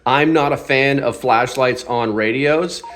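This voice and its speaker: A man's voice: mid-range voice, unhurried, fairly bright tone. A man hosting a casual hands-on product review, talking in an informal, off-the-cuff and self-deprecating way. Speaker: host of a gear review channel.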